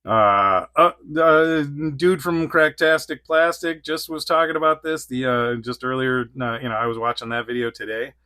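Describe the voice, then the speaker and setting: 40s dude rambling about a toy, giggles a lot, bright punchy voice, dry close sound. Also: male voice, medium pitch, moderate pace, few pauses, clean tone, dry recording. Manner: rambling, giggly, lots of fillers. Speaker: toy reviewer. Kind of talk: casual unboxing review.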